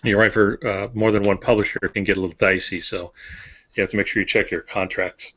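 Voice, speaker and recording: Male author-host, somewhat low pitch, measured and matter-of-fact advice, conversational. Lo-fi, muffled recording. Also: little pitch movement, fairly bright tone. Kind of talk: explanatory casual talk. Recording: dull lo-fi audio.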